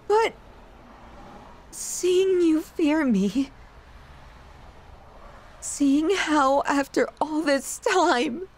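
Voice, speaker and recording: A woman playing an obsessive, lovesick, possessive yandere in an ASMR audio roleplay, with an emotional, halting, dramatic delivery. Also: high-pitched, animated, a little mellow, exaggerated voice. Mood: tearful, desperate.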